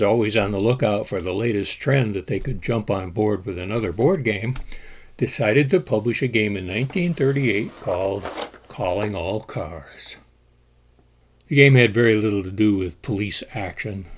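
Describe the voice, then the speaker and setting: A man telling the history of an old board game in a measured storytelling way, with an occasional "uh" as he goes. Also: lowish voice, a little mellow. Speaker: board game collector presenting. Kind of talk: scripted history narration.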